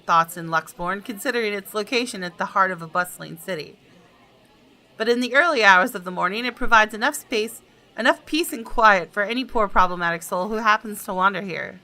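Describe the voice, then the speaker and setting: A woman's voice: mid-range voice, a little fast, bright, forceful tone, rough recording. A woman hosting a gaming video, switching between casual commentary and reading story text aloud.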